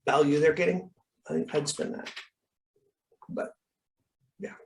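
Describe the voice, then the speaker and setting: Instructor, mid-pitched, informal coaching Q&A on a video call, explanatory and conversational. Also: fairly even intonation, takes it slowly, vocal fry, slight background noise, rough recording. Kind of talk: informal question-and-answer coaching.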